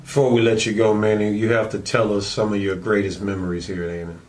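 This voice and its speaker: A man hosting an interview, asking questions in a halting way with many ums and uhs. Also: on the low side, level tone, slight background noise. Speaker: interviewer, show host.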